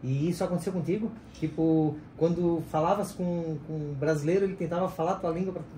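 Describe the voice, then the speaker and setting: A man's voice: on the high side, fairly even intonation, fast, mellow. A man chatting casually on a podcast, with stammering restarts and easy laughter. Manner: laughing, slightly stammering chat. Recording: roomy echo with faint hiss.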